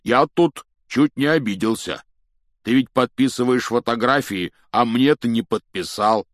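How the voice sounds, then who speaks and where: A man voicing a big, good-natured giant groundskeeper for a storybook, with a gruff, folksy, blunt way of talking. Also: mid-range voice, a little slow, no echo, clean studio sound, voice pushed up, lightly acted. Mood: teasing, jovial. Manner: hesitant, folksy, teasing, blunt.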